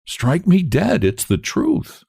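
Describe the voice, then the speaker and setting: A man's voice: high voice, wildly swooping pitch, slow, creaky, dark tone, breathy edge, no echo, clean studio sound, pitched above the performer's own voice, heavily acted. A man voicing a tall, hungover young man bragging about drinking. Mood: boastful.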